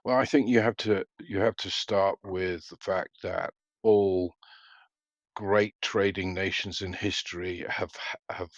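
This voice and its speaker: A middle-aged man, an analyst answering interview questions in a measured, halting way with many fillers. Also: low voice, steady tone, dry recording, lo-fi sound. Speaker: freight market analyst guest.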